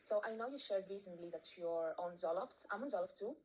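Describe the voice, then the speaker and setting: Greek-accented woman, polite voice memo, halting with fillers. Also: medium pitch, darkish tone, a little hiss or hum, low-quality recording. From Greece. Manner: hesitant, polite. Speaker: caller sending a voice memo.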